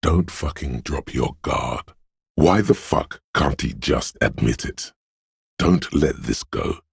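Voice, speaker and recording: Super deep husky paranoid inner voice for a video game, slow n ominous, like raw fear and menace talking. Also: male voice, animated, no room sound, studio quality, heavily acted. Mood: aggressive, paranoid. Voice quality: gravelly, husky, breathy. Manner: slow, ominous, intense delivery. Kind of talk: dramatic video game voice acting.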